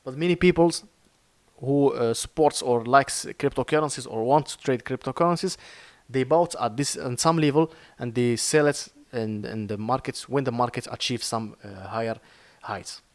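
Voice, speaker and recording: Moroccan guy explaining trading, mid pitch, halting, lots of uhs, dry close mic. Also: no echo. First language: Arabic. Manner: earnest, halting, with many fillers and restarts. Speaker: crypto trading teacher. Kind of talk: informal screen-share lesson.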